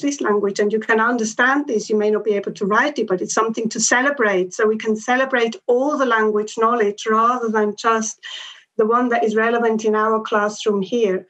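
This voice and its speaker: A woman's voice: on the high side, fairly bright tone. A middle-aged woman, not a native English speaker, answering a panel question on a video call from home. She talks thoughtfully, with many ums and restarts. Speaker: education researcher. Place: home room with bookshelves and plants. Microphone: laptop webcam on video call. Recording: dull lo-fi video call audio.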